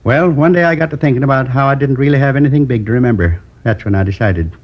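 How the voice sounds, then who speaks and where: An actor playing a lonely man in his seventies who confesses to a robbery in a slow, rambling, wistful way, in 1950s radio drama acting. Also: medium pitch, close to an everyday voice. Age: 70s. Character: lonely old male drifter turned robber. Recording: dull old radio broadcast.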